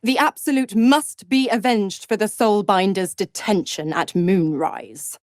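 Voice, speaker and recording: A woman's voice: mid-range voice, measured pace, dry recording, studio quality, lightly acted. A woman voicing a sly, seductive devil in a video game, mocking and condescending, with a teasing theatrical drawl. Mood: smugly officious. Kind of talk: video game character acting.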